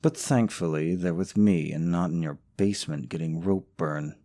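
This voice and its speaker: A man's voice: low voice, normal speed, warm tone. A man playing a young, smug, possessive boyfriend in an audio roleplay, sarcastic toward his rival and syrupy sweet to the listener. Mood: smug, mocking. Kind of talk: scripted romantic audio roleplay.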